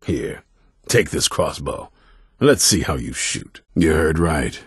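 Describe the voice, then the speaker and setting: A stern male leader of vampire hunters in a fantasy video game. His voice is extremely low and thick with gravel, recorded close and dry. He speaks bluntly and with command, suspicious and grudging toward those around him. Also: big pitch swings, slow pace, no echo, heavily acted. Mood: gruff, grudging. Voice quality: very deep, gravelly, raspy. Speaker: leader of a vampire-hunting order.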